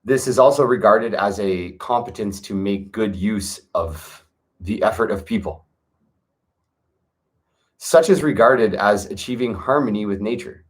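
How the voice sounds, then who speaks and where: A man with a fairly low voice reads slow, solemn verses aloud. He speaks in a measured, earnest way and leans into key words for emphasis. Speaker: reader of a classic text. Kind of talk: solemn reading aloud with asides.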